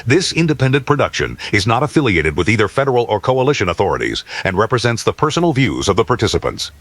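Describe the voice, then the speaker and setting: A man's voice: fairly low pitch, big pitch swings, fast, fairly bright tone, no room sound. A man playing an impersonal official announcer reading a disclaimer in an audio drama. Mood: formal. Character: formal male announcer reading a broadcast disclaimer.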